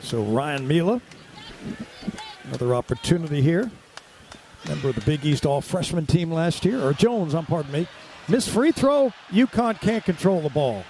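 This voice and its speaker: A man calling live radio basketball play-by-play in clipped, excitable running descriptions. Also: a little high, normal speed. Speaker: play-by-play announcer. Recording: courtside with loud crowd and gym noise. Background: crowd and arena ambience.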